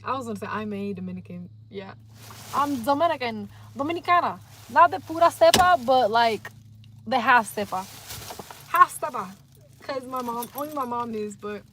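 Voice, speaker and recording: A young woman livestreaming, chatting casually and rambling in a slangy, animated way to her viewers. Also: on the high side, slight background noise, low-quality recording.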